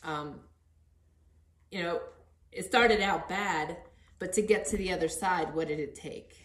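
Low, even-voiced woman in an echoey room. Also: measured pace. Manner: earnest, encouraging. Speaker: podcast host interviewing. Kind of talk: conversational interview.

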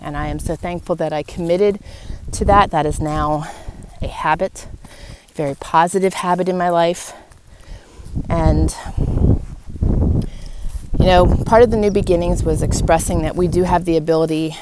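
A woman's voice: lowish voice, fairly even intonation, moderate pace, long pauses. A woman hosting a motivational, faith-led podcast monologue, speaking earnestly and encouragingly, with emphatic repeated phrases. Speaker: podcast host. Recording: clearly noisy background.

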